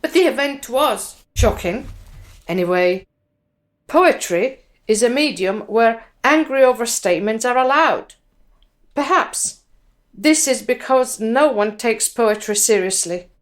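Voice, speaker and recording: An earnest woman with a fairly high voice, in a radio drama. She is a budding performance poet who speaks slowly and deliberately, weighting her words. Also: animated. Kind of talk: radio drama acting, with recited poetry.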